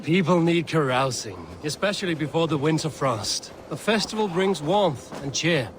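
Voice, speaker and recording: An actor playing an honorable, plain-spoken Viking warrior in a video game, earnest and gently teasing. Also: male voice, fairly high pitch, expressive, normal speed, noisy background, close to an everyday voice. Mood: wry. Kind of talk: dramatic medieval video game dialogue.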